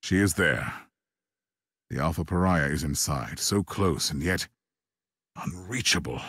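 A battle-hardened inquisitor from a video game, with an extremely low voice. He is grim and resolute, speaking with solemn, formal command. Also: measured pace, fairly bright tone, breathy edge, dry recording, studio quality, heavily acted. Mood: solemn resolve. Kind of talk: video game cutscene acting.

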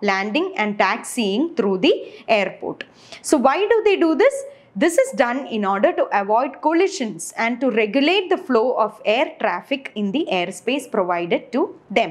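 A woman in her twenties with an Indian accent giving an instructional lecture, explaining step by step as a teacher. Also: on the high side. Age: 20s. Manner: explanatory, rhetorical questions to structure points. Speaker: aviation lecturer.

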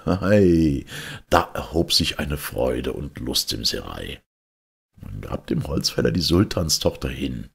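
A man reading a fairy tale aloud as an expressive storyteller. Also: a bass voice, slow, gravelly, breathy edge.

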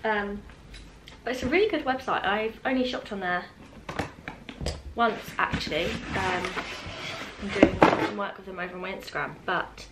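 A young British woman vlogs casually from her living room in an ordinary mid-pitched voice. She chats informally and wanders into small asides as she goes. Clear background noise sits behind her, picked up by a camera a little way off. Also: moderate pace. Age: 20s. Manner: chatty, informal, trailing asides. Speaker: vlogger. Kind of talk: casual chat to camera, unboxing. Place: open-plan living room at home, indoors.

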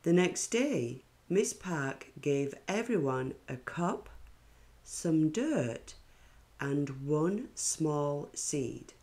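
A woman with a low voice narrates a picture book for children. Her reading is extremely unhurried and deliberate, with an expressive storyteller's delivery.

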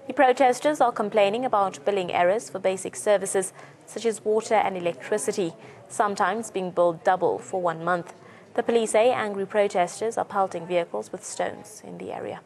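A woman's voice: mid-range voice, brisk, vocal fry, a little mellow. A South African woman in her thirties presenting live news as a studio anchor.

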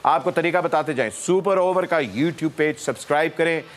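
A man's voice: a little high, moderate pace. A man hosting a comedy talk show in a TV studio, teasing guests and announcing a viewer contest. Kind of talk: lively studio chat.

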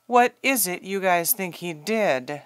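A woman's voice: lowish voice, lively intonation, slow pace, dry recording. A woman playing a helpful, matter-of-fact probation officer in a radio drama, speaking casually.

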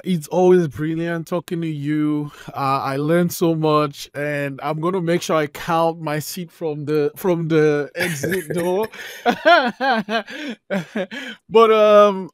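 Man, podcast host, slow, smooth resonant voice, dry close studio mic. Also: fairly high pitch, no echo. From Canada. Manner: attentive, slow, frequent short affirmations. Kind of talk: casual interview chat. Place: home studio. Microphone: studio condenser mic with headphones.

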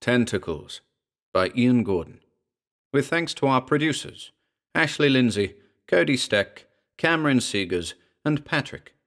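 A man's voice: medium pitch, expressive, measured pace, a bit bright. A man reading a scripted introduction as host of a horror audio drama channel.

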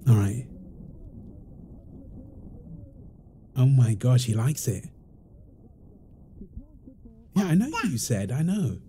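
Guy doing a playful loving young dad, asmr roleplay, really warm relaxed voice, noisy background. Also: mid-range voice, normal speed, close to an everyday voice. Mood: amused, delighted. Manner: teasing, affectionate, laughing easily. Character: playful, doting young father feeding his baby son. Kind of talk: ASMR roleplay, improvised casual talk.

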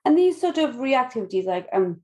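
A Welsh woman hosting a podcast, asking relaxed, chatty questions with a few fillers. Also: high-pitched, a little fast. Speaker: podcast host. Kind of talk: casual podcast interview.

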